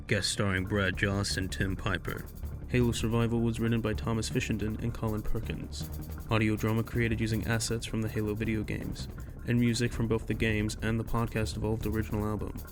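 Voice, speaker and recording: A man reading a scripted end-credits announcement as the announcer for an audio drama. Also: fairly low pitch.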